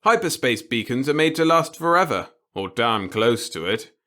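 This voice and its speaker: A man's voice: mid-range voice, big pitch swings, normal speed, sharp tone. A man voicing a gleefully tyrannical old boss in a sci-fi audiobook, gloating and lecturing as he browbeats his staff.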